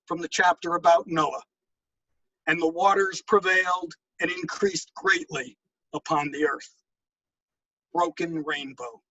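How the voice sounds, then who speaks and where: A man reading his own poetry and introducing it, with a measured, deliberate delivery. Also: high voice, pushed and bright, no room sound, low-quality recording. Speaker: poet reading own work.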